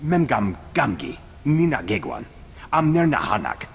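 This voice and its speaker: A man voicing a gruff alien who speaks an invented guttural tongue in a raspy, gravelly voice, as in a video game cutscene. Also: medium pitch, huge pitch swings, unhurried, exaggerated voice. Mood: gruff, urgent. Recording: long reverb, music underneath.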